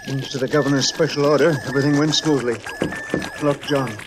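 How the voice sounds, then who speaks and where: An actor playing an obsessed, urgent professor of science in old radio drama, earnest, commanding and melodramatic. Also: male voice, mid-range voice, steady tone, a little fast, natural voice, poor audio. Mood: urgent, excited. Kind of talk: 1940s radio drama acting. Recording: old radio recording, music behind.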